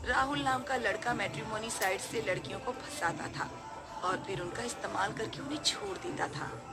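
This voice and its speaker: A woman's voice: fairly high pitch, animated, normal speed, over loud music. A woman narrating a scripted horror story.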